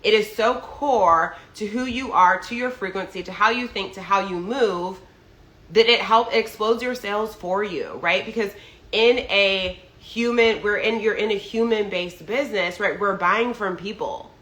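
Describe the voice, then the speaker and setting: A woman's voice: mid-range voice, measured pace, a bit bright, reverberant. A young adult woman getting over a cold and sounding a bit nasal, talking casually as a business coach. She chats in a loose way, with lots of "like" and "right?". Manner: chatty, filler-heavy, often asks "right?". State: recovering from a cold, slightly nasal. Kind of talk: casual monologue to followers. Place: New York.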